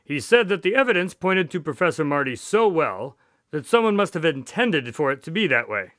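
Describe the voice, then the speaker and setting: Actor as an earnest, higher-voiced doctor sidekick. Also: male voice, very animated, normal speed, a bit bright, no room sound, studio quality. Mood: explanatory. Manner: earnest, explanatory. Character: earnest male doctor, detective's companion recounting cases. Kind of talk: radio drama storytelling.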